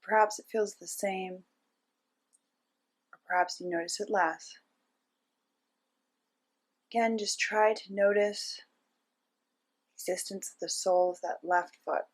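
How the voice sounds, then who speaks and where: Female meditation teacher, medium-pitched voice, gentle instructional delivery, pauses between short phrases. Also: fairly even intonation. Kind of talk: guided meditation narration.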